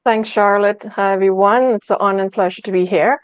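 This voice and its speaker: A woman from Canada giving a measured, formal introduction on a panel. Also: medium pitch, steady tone, clean tone, no echo, highs cut off.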